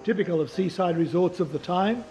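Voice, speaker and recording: A man giving measured, scripted documentary narration. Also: sits high, mellow, faint music behind. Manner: measured, informative.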